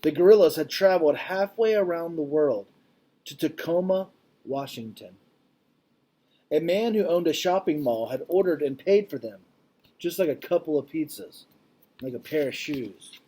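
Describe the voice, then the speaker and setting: A man reading a picture book aloud to children like a teacher, expressive and deliberate, with informal explanatory asides. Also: fairly high pitch, breathy edge, rough recording.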